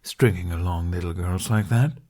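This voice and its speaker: A man's voice: sits low, moderate pace, warm tone. A man voicing a surly, sarcastic young dragon in an audiobook, grumbling with mock indignation. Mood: mock indignant. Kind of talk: fan audiobook character voice.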